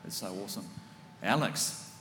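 A man with a big booming voice leads a casual church panel, full of enthusiastic affirmations. His words ring through a large echoey hall with long reverb, and a faint hum sits underneath. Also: normal speed, bright, forceful tone. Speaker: church pastor hosting a panel.

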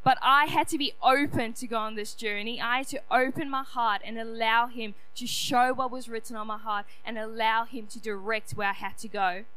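A young woman with a high, bright voice preaches in a church hall. She speaks loudly and earnestly, sharing her testimony. A faint hum sits behind her. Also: fairly even intonation, normal speed, few pauses. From South Africa. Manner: loud, booming, earnest. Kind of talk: personal testimony sermon.

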